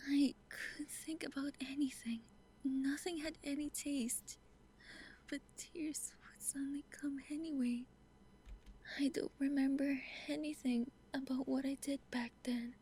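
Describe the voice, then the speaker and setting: An actress playing a gentle grieving mother in a game, speaking in a breathy, halting near-whisper. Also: high voice, slight background noise. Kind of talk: dramatic monologue in a game.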